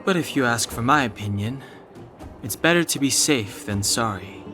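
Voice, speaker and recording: A serious young man speaks at a middle pitch over faint music. He is cool, cautious and matter-of-fact, a reserved adventurer in a video game. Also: lively intonation, normal speed, sharp tone. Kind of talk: English game voice acting.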